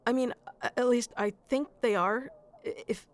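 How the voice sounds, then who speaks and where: A woman's voice: fairly high pitch, moderate pace, natural voice. A woman playing a shy, sweet, lovestruck florist in a romantic ASMR roleplay, speaking hesitantly with nervous stammers. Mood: nervous, apologetic.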